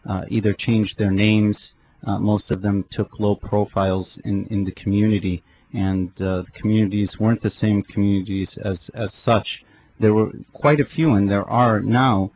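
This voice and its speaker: Guy hosting a radio show, lowish voice, lots of ums and restarts. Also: a little mellow, rough recording. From United States. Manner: hesitant, with many fillers and restarts. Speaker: host. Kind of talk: casual talk on a radio show.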